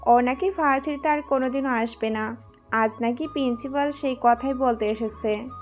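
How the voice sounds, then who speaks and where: A high young female voice from an actress as a student passing on gossip. It is audio-story drama over music. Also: moderate pace, close to an everyday voice. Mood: gossipy. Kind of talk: Bengali audio story acting. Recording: music bed under the voice.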